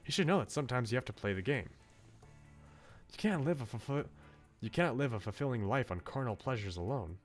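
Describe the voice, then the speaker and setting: A man's voice: mid-range voice, expressive, fairly quick, natural voice. A man reading aloud a visual novel's bookish male student, articulate, philosophical and politely opinionated. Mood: earnest, critical. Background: music.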